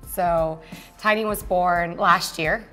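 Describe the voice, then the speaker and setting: A fairly low-voiced woman originally from Finland speaks earnestly and fluently, explaining her company in an interview. She sits in an open-plan office, miked close with a lapel mic, and soft music sits faintly underneath her. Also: fairly even intonation, slow, low-quality recording. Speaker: co-founder and guest. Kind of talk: business interview conversation.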